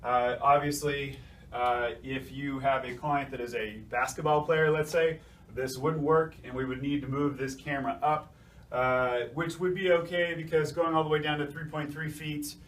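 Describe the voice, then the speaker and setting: A man's voice: mid-range voice, fairly even intonation, normal speed, fairly bright tone. A man giving an informal technical explanation as a presenter, hesitating often with uh and um between phrases. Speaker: technical presenter from a design services company. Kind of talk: informal explainer walking through a worked calculation. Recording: distant mic, faint room noise.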